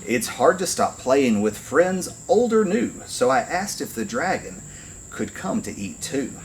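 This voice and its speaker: A man reading a rhyming picture book aloud to children in an expressive, deliberate storytelling manner. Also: medium pitch, slight background noise, rough recording. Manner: animated storytelling, deliberate phrasing.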